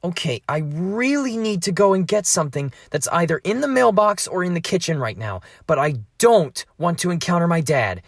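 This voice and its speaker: A man's voice: sits high, very animated, moderate pace, hardly any pauses, dry recording, clean studio sound, full character voice. A man voicing an anxious, whiny thirteen-year-old boy in an amateur fandub, exasperated and hesitant. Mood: worried.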